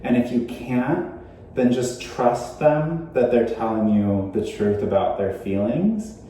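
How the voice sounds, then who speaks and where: A man's voice: medium pitch, expressive, slow pace, warm tone, very echoey, far from the mic, a little hiss or hum, rough recording. A man from Arizona co-hosting a casual family Q&A, easygoing and thoughtful. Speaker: co-host of a family channel. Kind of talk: casual Q&A chat.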